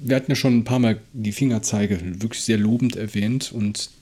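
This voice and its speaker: A man's voice: fairly low pitch, steady tone, fairly quick, darkish tone, husky. A man co-hosting a casual discussion, retelling and explaining a story in a conversational way. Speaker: podcast co-host.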